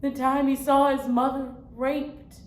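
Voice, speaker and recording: An actress performs a weary woman turned angel, baring her soul in a stage monologue. Her fairly high voice moves slowly and deliberately, with heartfelt weight. The room rings around her. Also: little pitch movement. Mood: sorrowful confession. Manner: slow, heartfelt, deliberate delivery. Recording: roomy echo, faint background noise.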